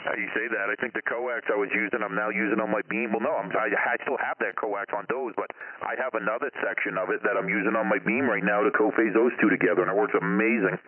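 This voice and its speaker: A man's voice: fairly low pitch, steady tone, quick pace, breathy edge. A man chatting casually about radio gear as a hobbyist, rambling and swearing freely. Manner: rambling, profane. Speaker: ham-style CB radio hobbyist. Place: two-way CB radio hookup. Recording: tinny CB radio transmission.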